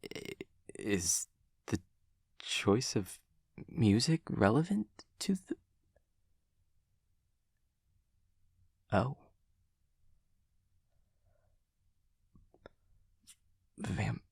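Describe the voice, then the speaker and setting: A man voicing an awkward, caring young demon classmate in an intimate audio roleplay, with soft husky voice and stilted, formal, hesitant phrasing. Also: on the low side, sing-song, takes it slowly, dry recording, exaggerated voice. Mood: puzzled, careful.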